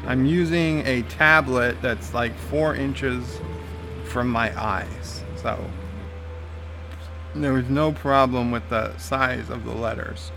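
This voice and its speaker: A man's voice: mid-range voice, fairly even intonation, slow pace, gravelly, over music. A man streaming a game, reading viewer comments aloud and answering them casually, with lots of "uh" fillers.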